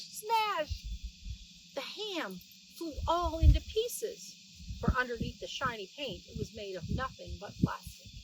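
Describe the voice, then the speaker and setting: A woman's voice: extremely high pitch, creaky. A middle-aged woman reads a children's story expressively and explains things simply for young listeners. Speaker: children's read-aloud narrator. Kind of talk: expressive storybook reading with plain asides to explain words. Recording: distant mic with faint background noise.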